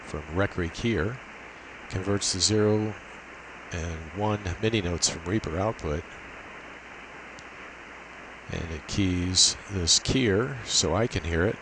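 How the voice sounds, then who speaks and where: A man giving an unscripted, methodical technical walkthrough, explaining a setup step by step. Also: deep voice. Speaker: amateur radio demonstrator. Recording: steady hiss and noise behind voice.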